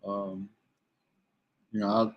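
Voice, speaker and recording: A man from West Virginia chatting casually as a podcast guest, plain-spoken and halting, in no hurry. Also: on the low side, flat delivery, highs cut off. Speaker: podcast guest, veteran kayak angler. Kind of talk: casual chat.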